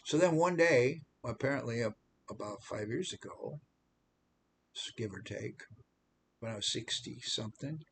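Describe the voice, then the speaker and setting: Older American man, 70, faith vlogger, halting self-mocking testimony, mid-pitched voice, faint rumble in the background. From United States. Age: 70s. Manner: rambling, repeats words and restarts, self-deprecating. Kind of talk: personal testimony monologue.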